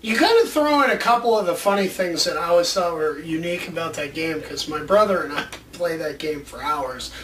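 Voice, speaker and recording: Male co-host, high-pitched voice, informal and rambling, roomy sound with some background noise. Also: expressive, fairly quick, hardly any pauses, fairly bright tone, far from the mic. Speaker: co-host of a video show about movie ideas. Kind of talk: casual chat.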